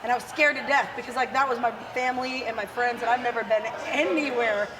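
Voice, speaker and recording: A woman from South Dakota with a gravelly, raspy voice, chatting casually and laughing now and then as an interview guest. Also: a little high, quick pace, a crowd in the background, low-quality recording. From South Dakota, USA. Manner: chatty and self-deprecating, laughs often. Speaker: musician guest. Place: outdoors at a windy motorcycle expo.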